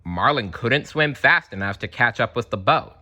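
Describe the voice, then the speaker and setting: A man reading a children's storybook aloud in an animated, chatty way, slipping in casual asides. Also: mid-range voice, moderate pace. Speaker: storybook reader.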